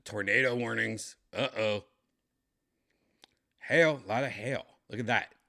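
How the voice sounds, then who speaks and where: A tipsy man with a raspy, dry-throated voice, chatting casually as a comedian hosting his livestream, rambling and clearing his throat often. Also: lowish voice, measured pace, bright, forceful tone. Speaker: comedian streaming host.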